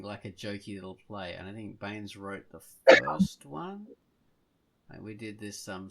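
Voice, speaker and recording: A man's voice: lowish voice, creaky, a bit bright, rough recording. A man hosting a podcast, telling stories in a casual, rambling, hesitant way. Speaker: podcast host.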